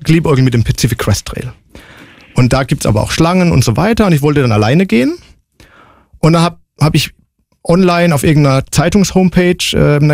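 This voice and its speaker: A man's voice: a little high, darkish tone, dry recording, studio quality. A man in his forties answering interview questions casually, in a concise and matter-of-fact way. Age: 40s. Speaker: interview guest, long-distance hiker.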